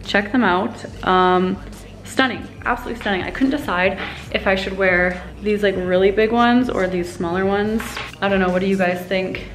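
A woman's voice: medium pitch, moderate pace, echoey room. A young woman in her twenties chatting casually to a handheld camera in her apartment, bubbly and giggly. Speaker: vlogger. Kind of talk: casual vlog chat to camera. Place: apartment and friend's kitchen, indoors. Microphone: handheld camera at arm's length. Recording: loud music and chatter behind.

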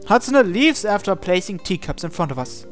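A man reading a visual novel aloud as expressive narration, in a measured, dramatic way. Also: sits high. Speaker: gaming channel host reading aloud. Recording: voice over game music. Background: game music.

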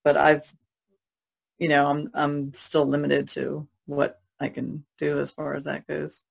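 Woman chatting on a podcast, lots of fry, kinda halting, trails off. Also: low-pitched, completely flat delivery. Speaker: artist guest. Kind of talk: casual podcast chat.

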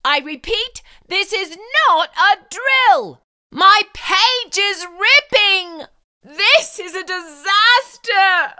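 A dramatic, fussy young male frog who frets over every mess, as a woman puts him on. The voice sits very high and swings widely, with a bright, sharp edge and slow, drawn-out delivery. It is recorded dry and close, with no room sound. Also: animated, dry recording, exaggerated voice. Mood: panicked. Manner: dramatic, fretful, exclaiming. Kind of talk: storybook read-aloud acting.

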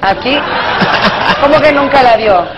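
A woman's voice: sits high, lively intonation, measured pace, muffled recording. A young Mexican woman hosting a live television talk show, chatting in an effusive, familiar way. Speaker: television host. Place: television studio stage with audience. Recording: very noisy old television tape.

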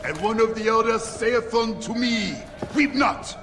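An actor playing a fervent, gun-loving former warlord turned preacher in a game, theatrical, swinging from hushed to thundering. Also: male voice, very high-pitched, measured pace, a little hiss or hum. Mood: fervent, exalted. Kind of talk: scripture-quoting sermon in a game cutscene.

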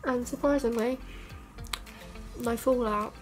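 Young woman, stuffy and croaky, unhurried and even-toned, self-deprecating beauty-vlog chatter, camera mic in her bedroom. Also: fairly high pitch, faint music behind. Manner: chatty, self-mocking, rambling with fillers. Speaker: beauty vlogger. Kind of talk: casual makeup tutorial chat. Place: bedroom, indoors. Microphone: camera mic at arm's length.

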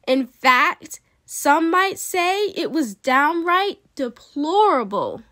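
Lady reading a kids picture book, takes it slow, really expressive storyteller, no room echo. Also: high-pitched, studio quality. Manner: dramatic, emphatic storytelling with playful asides. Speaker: read-aloud storyteller. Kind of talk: expressive children's picture book read-aloud.

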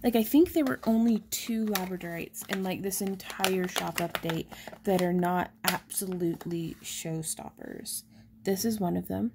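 A chatty woman, showing handmade stones in a casual shop update, talking softly and excitedly over the camera. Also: fairly low pitch, unhurried, creaky, background noise. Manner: chatty and excitable, drops into soft whispers over pretty stones. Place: indoors at a craft table.

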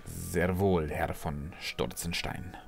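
A man's voice: sits low, fairly even intonation, slow, creaky, noisy background, full character voice. A man playing a servile, subdued alchemist in a fantasy audio drama. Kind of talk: fan-made fantasy audio drama acting.

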